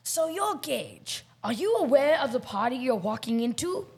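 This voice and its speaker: A woman's voice: high voice, very animated, normal speed, breathy edge, exaggerated voice. A young girl playing a bossy, suspicious police detective who questions bluntly in an amateur radio play. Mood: accusing. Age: child or teenager.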